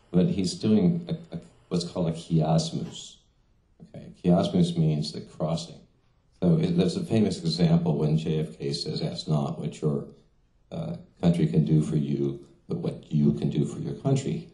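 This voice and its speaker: A man's voice: rumbling low, mellow. A middle-aged man, a translator, talking casually at a reading in a small venue, with many fillers and restarts. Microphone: stand mic in front of seated speaker. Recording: echoey room.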